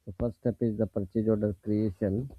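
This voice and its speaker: A man narrating a step-by-step software tutorial in a plain, methodical, explanatory way. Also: lowish voice, little pitch movement, brisk, husky, dry recording, narrow, tinny audio. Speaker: software instructor.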